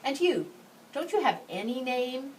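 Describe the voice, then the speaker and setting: Woman putting on an eager, high-pitched curious schoolboy. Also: very animated, unhurried, recorded from a distance, slight background noise, low-quality recording. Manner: bright, eager questioning. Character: friendly curly-haired schoolboy, curious and admiring.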